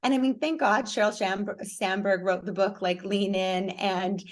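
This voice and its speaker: Hoarse, raspy woman talking with energy. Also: mid-range voice, normal speed. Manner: energetic, candid, lively storytelling. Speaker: podcast guest, speaker and coach. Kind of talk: interview conversation.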